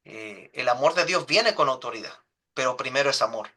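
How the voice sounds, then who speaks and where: A man's voice: mid-range voice, expressive, fairly quick, bright, forceful tone. A man, a pastor teaching a church class in an earnest, pastoral way, speaking directly to the group.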